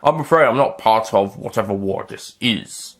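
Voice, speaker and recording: Guy doing a quirky male space adventurer, curious, gets exasperated fast, husky, swings around in pitch, audiobook. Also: expressive. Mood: inquiring. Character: male alien time traveller, curious, quirky, easily exasperated. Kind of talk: audiobook character voice.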